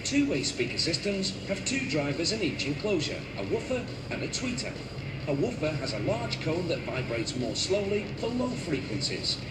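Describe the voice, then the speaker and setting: A man reading scripted explainer narration about a process in a measured, instructional way. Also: a little high, very animated, reverberant, distant mic, loud music behind the voice. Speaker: documentary narrator.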